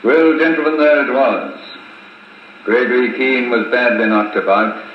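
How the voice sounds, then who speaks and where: An actor playing a weary, stoic army colonel, recounting events in a measured, formal way in 1950s radio drama style. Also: male voice, mid-range voice, natural voice. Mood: somber, reflective. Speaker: narrator and intelligence officer character. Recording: muffled, hissy 1950s wire recording, very echoey.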